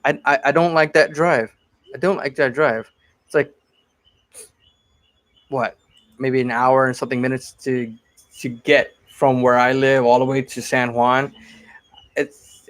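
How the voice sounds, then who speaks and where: Chatty guy in his 40s from connecticut, rambly live stream q&a, mid voice, keeps repeating himself. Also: mid-range voice. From Connecticut, USA. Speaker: cosplay prop maker answering viewer questions.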